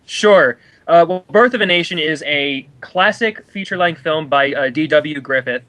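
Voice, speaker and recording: Higher-pitched man, argumentative film commentary. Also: moderate pace, fairly bright tone, rough recording.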